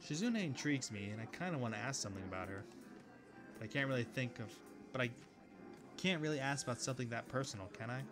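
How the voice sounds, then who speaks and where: A man reading a visual novel aloud, with relaxed commentary and casual asides. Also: mid-range voice, quick pace, music in the background. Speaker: video game let's player.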